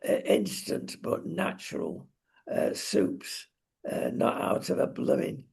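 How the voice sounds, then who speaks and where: Husky, creaky high-pitched male talk show host. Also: very animated, slow pace, a little mellow. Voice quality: husky, gravelly. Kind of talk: opinionated casual discussion.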